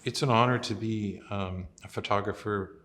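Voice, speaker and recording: A man speaking plainly and deliberately in an interview as a magazine photographer, with an earnest manner. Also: lowish voice, steady tone, fairly bright tone, slight background noise.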